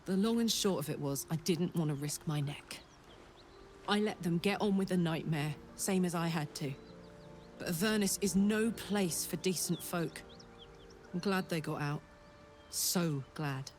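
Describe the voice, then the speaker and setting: A woman's voice: low-pitched, a little mellow. A woman playing a brash, hearty tiefling warrior in a video game, with a blunt, sweary, exuberant manner. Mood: regretful. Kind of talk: video game character dialogue.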